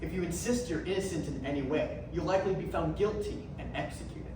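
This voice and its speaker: A man's voice: on the high side, fairly quick. Young man narrating on stage, very echoey. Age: young adult. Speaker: readers theatre performer. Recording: echoey hall from far off, noisy.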